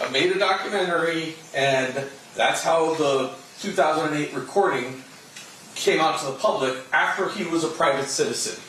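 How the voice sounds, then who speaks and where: A man's voice: on the high side, fairly bright tone. An American man arguing as a trial attorney, emphatic and argumentative, pressing his points with rhetorical questions. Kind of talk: spontaneous legal argument in court. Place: indoor courtroom. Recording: very echoey, distant mic, faint noise.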